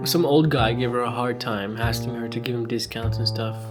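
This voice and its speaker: A man's voice: medium pitch, steady tone, brisk. A man co-narrating a scripted story in a conversational style, with a dry, bantering manner. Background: plucked guitar music.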